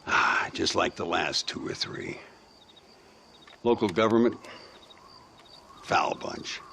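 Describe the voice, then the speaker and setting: A low, gravelly voice of an aging gunslinger, wry and world-weary. He drawls theatrically, as in a Western game cutscene, with faint noise behind him. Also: slow, pushed and bright, exaggerated voice. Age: older. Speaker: video game character.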